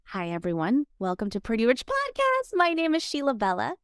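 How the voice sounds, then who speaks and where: A woman in her thirties, originally from the Philippines, hosts a podcast from her home office. Her voice sits a little high and sounds smooth and crisp, and she talks to her listeners in an earnest, encouraging way. The recording is close and dry, with no room sound. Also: huge pitch swings, a little fast, dry recording. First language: Filipino language. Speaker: podcast host. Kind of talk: motivational solo podcast talk.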